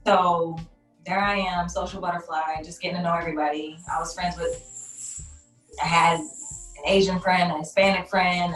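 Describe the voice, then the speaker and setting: A woman from Chicago's South Side, a podcast guest and entrepreneur, talking in an easygoing, chatty way. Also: fairly low pitch. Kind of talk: casual interview chat. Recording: distant, echoey remote call audio.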